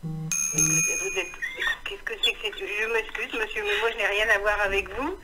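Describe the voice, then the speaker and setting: A woman's voice: medium pitch, normal speed, music in the background. An older woman answering a phone call, bewildered and indignant, denying over and over. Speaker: prank call target.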